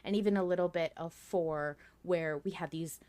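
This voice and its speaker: A woman's voice: lowish voice, fairly even intonation, normal speed, a little mellow. A woman co-hosting a podcast in casual fan discussion, talking in a giggly, casually sweary way. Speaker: podcast host.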